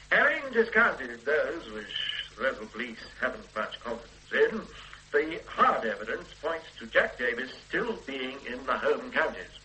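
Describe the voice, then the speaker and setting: An actor playing a measured, dryly authoritative police detective in a radio drama. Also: male voice, mid-range voice, pushed and bright, slight background noise, low-quality recording. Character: methodical middle-aged police detective superintendent. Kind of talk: 1970s radio drama acting.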